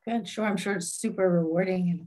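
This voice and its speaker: A woman's voice: fairly low pitch, brisk, dark tone. A woman conducting a conversational interview, giving brief affirming responses and putting questions to her guest.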